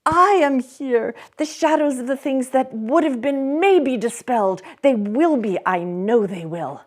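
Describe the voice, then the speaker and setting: An old miser newly reformed, bursting with giddy, breathless joy, put on by a woman reading a storybook. His voice rides high and loud, with exclamation after exclamation. He sounds nearly beside himself with delight. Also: normal speed. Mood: ecstatic.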